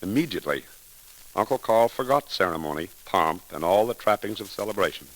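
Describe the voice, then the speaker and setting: A low-pitched man delivers a scripted 1930s radio eulogy as a story, formal and oratorical, phrase by deliberate phrase. The recording is vintage and crackly. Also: lively intonation, fairly bright tone. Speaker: speaker giving a tribute.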